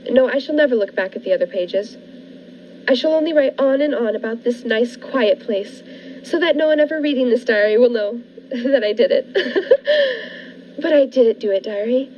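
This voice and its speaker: Woman acting high-pitched frightened woman, unhinged and secretly cunning, 1940s radio thriller, muffled sound over music. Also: a little mellow, a little hiss or hum, natural voice. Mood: weary, brooding. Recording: muffled lo-fi recording with music behind.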